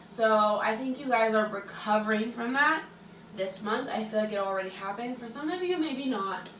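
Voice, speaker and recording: A woman's voice: on the high side, normal speed, recorded from a distance, slight background noise. Stuffy-nosed woman reading tarot, echoey room. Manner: chatty, relaxed, many likes and ums. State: stuffy-nosed from allergies, sore throat. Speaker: tarot reader and astrologer. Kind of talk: casual tarot reading for viewers.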